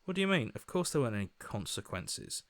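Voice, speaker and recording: A man voicing an earnest, anxious young hero in a video game, with a hesitant, questioning, expressive delivery. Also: medium pitch, fast talker, dry recording, studio quality. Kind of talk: video game character acting.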